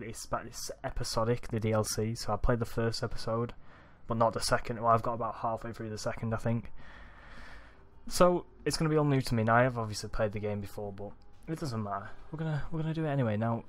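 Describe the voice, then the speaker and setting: A young man with a Manchester accent, rambling excitedly over a video game, his morning voice groggy and deep. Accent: Manchester English. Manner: rambling, excitable, swears often. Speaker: let's play gamer. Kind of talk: casual gameplay commentary.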